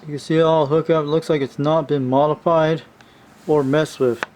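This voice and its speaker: A man's voice: a little high, flat delivery, normal speed, a little mellow. A man giving a casual, rambling hands-on show-and-tell as a radio hobbyist, speaking informally to his viewers. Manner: informal, rambling, addressing viewers as you guys. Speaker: hobbyist showing a vintage CB radio. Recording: noisy amateur home video.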